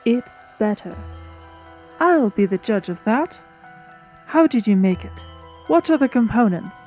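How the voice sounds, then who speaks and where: A woman's voice: fairly high pitch, lively intonation, a little slow, dark tone. A woman playing an imperious, stern healer and teacher in a dramatized fantasy story, speaking in a formal, commanding way. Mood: stern, scornful. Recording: slightly dull microphone, music behind.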